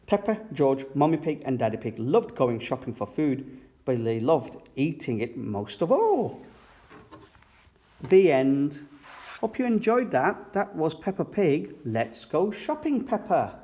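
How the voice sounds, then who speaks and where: Animated male children's story reader. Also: fairly high pitch, a little slow. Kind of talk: expressive read-aloud storytelling.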